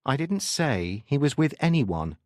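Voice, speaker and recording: A narrator voicing a firm, authoritative male police detective sergeant with a brisk, commanding manner. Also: medium pitch, very animated, no echo, studio quality, voice pushed up. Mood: measured.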